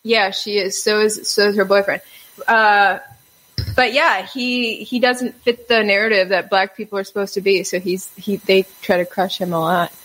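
A woman hosting a casual talk show, lively and quick to laugh. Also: mid-range voice, rough recording. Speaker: podcast host. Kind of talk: casual chat.

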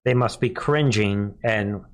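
A man's voice: on the low side, slow pace. Emphatic American man, derisive commentary. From United States. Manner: emphatic, derisive, repeats phrases for effect. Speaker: news commentary show host. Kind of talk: live political commentary.

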